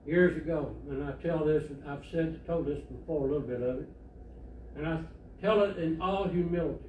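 Older preacher, echoing far off. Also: male voice, fairly high pitch, normal speed, a little mellow, highs cut off. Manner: plainspoken storytelling, conversational. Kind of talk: sermon. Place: church sanctuary, indoors, standing at a pulpit. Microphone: far camera. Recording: echoey church from far off, faint hiss.

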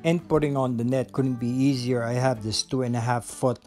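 A man's voice: mid-range voice, fairly even intonation, a little fast. Enthusiastic man narrating a garden tour. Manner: enthusiastic, explanatory, with some fillers. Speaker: home gardener showing his garden. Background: soft guitar music.

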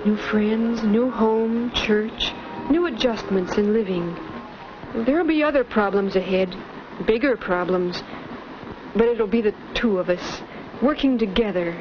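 A woman's voice: medium pitch, measured pace, background noise, lightly acted. An American actress in her twenties playing an earnest, devoted newlywed wife in a wholesome 1950s educational film style. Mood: reflective, hopeful. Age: 20s. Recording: muffled vintage 1950s film soundtrack, music behind.

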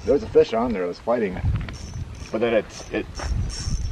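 Lively-voiced man chatting off mic outdoors. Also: mid-range voice, normal speed, a little hiss or hum, poor audio. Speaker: family member fishing. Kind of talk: casual chat. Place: on a boat on a lake, outdoors.

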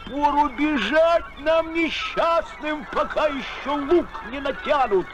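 An actor playing a grave poet who recites ancient verse theatrically in an animated film. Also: male voice, very high voice, slow, highs cut off, full character voice. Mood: ominous warning. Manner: theatrical, declamatory.

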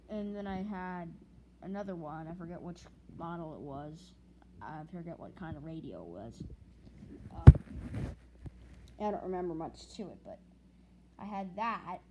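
A noisy, click-flecked recording carries a mid-pitched, female-sounding voice. The speaker, from the United States, talks casually and off the cuff, hesitating and circling back over words in a rambling update. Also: expressive, measured pace, pauses often, lo-fi sound. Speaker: hobbyist video creator. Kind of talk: casual unscripted video update.

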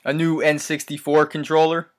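A man making a deadpan prank phone call, speaking in an earnest, polite and hesitant way. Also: a little high, moderate pace.

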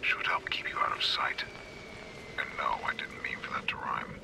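An actor playing a shadowy, wry vigilante mentor in a noir video game, with a whispery growl and terse, knowing delivery. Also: male voice, extremely low pitch, huge pitch swings, moderate pace, creaky, full character voice. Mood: dry, guiding.

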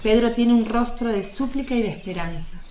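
A woman's voice: a little high, fairly quick, warm tone. A woman reading scripted narration as the narrator of a religious radio drama. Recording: roomy echo, noise, birdsong behind.

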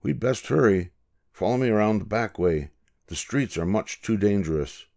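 A man's voice: on the low side, lively intonation, moderate pace, vocal fry, darkish tone. A man voicing a friendly, helpful male ship's cat in a storybook, speaking politely and reassuringly. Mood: gently guiding. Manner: reassuring, politely well-spoken.

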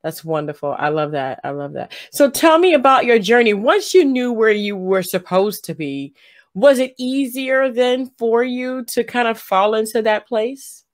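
A middle-aged woman hosting an interview over a video call from a room at home, affirming her guest and asking gentle open questions. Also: a little high, expressive, a little slow. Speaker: interview host. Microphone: webcam on a video call.

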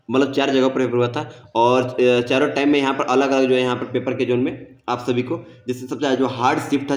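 A man giving an informal explainer, reassuring and repetitive as he addresses viewers directly. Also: medium pitch. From India. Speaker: exam-prep video presenter.

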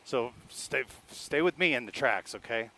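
A middle-aged man talking casually on a helmet headset outdoors, giving calm, reassuring directions. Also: medium pitch, normal speed, gravelly. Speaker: motorcycle travel vlogger. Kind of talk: casual chat. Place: outdoors, forest meadow. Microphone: helmet headset mic.

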